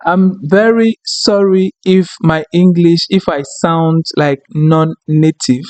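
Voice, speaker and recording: A man whose first language is French, walking viewers through a software tutorial and checking in often with "okay?" and "right?". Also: a little high, fairly even intonation, slow, no room sound. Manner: explanatory, frequent "okay?" and "right?" check-ins. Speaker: tutorial instructor. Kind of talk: screen-recorded software tutorial.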